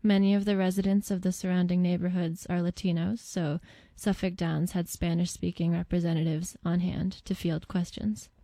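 A woman's voice: lowish voice, level tone, normal speed, few pauses, dark tone, dry recording. A woman reading a scripted introduction as a documentary narrator.

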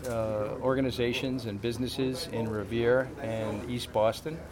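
A man answering reporters' questions at a press event, halting and full of fillers and restarts. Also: medium pitch, little pitch movement, a crowd in the background. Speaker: casino developer spokesman.

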